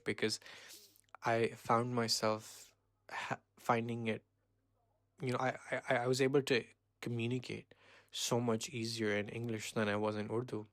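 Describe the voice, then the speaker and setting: A man in his twenties from Pakistan, hosting a casual solo podcast and rambling with many pauses and self-corrections. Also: medium pitch, gravelly, no echo. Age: 20s. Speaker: podcast host.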